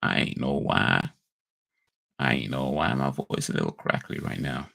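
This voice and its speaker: A man's voice: rumbling low, moderate pace, creaky, pushed and bright, dry recording. A man hosting a casual livestream, rambling and correcting himself with laid-back slang, his voice a little crackly today. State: slightly crackly voice. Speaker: livestream host. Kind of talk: casual chat.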